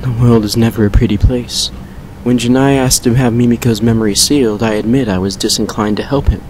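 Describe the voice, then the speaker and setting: A man playing a brooding vampire who recounts a painful past with measured regret in an audio drama. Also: mid-range voice, steady tone, a little mellow, a little hiss or hum, natural voice, rough recording. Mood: subdued, regretful. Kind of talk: fan fiction audio drama monologue.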